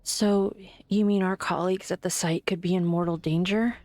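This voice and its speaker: A woman playing an earnest, composed doctor and researcher in an amateur game fan dub, polite, measured and sincere. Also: on the low side, fairly even intonation, warm tone, natural voice. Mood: composed, concerned.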